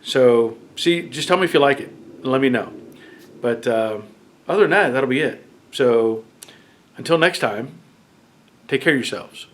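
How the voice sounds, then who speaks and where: A man's voice: medium pitch, a little slow, pauses often. A man in his fifties with a head cold, casually walking through his car build in his garage. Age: 50s. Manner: plain-spoken, relaxed, with fillers. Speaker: garage channel host. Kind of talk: casual workshop walkthrough. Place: home garage.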